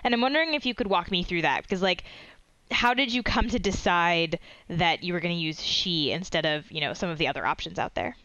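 A woman's voice: on the low side, fairly quick, pushed and bright, no echo. A woman hosting an interview podcast, chatty and rambling with lots of fillers and restarts. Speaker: podcast host. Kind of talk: interview conversation. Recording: dry, dull lo-fi sound.

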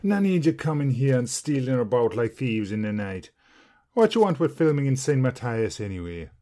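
A man voicing a taciturn, solemn giant of a church sexton who talks slowly and sternly in rustic dialect. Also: medium pitch, big pitch swings, warm tone, voice pushed up, natural voice. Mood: gruff. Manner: slow, stern, dialect speech.

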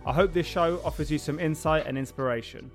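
A man's voice: a little high, fairly quick, faint music behind. A man hosting a podcast, reading a scripted welcome and then asking friendly, inquisitive questions. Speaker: podcast host. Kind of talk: scripted intro, then casual interview questions.

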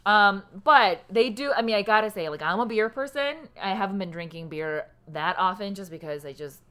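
A woman's voice: mid-range voice, normal speed, fairly bright tone, clear, resonant tone. A woman co-hosting a podcast in casual chat. She talks loosely, laughs often and swears casually. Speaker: podcast co-host.

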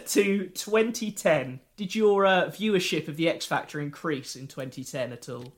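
A man with a slightly raised voice hosts a casual podcast chat. He talks in a wry, joking, unhurried way, tossing out asides as he goes. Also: on the high side, a bit bright. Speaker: podcast co-host.

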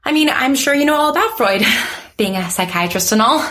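A woman's voice: high-pitched, animated, fairly quick, a bit bright. Woman playing a skittish, rambling young woman. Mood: evasive, awkward. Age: 20s. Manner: nervous, self-correcting, over-explaining, nervous little laughs. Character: skittish, rambling, awkward woman in her twenties. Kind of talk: naturalistic audio drama acting.

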